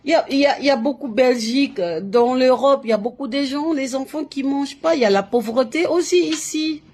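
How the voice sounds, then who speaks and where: A woman's voice: sits high, darkish tone. A woman from Latin America speaking French in an emphatic, repetitive way on a live stream, full of restarts and fillers, giving spiritual advice. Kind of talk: casual live-stream monologue.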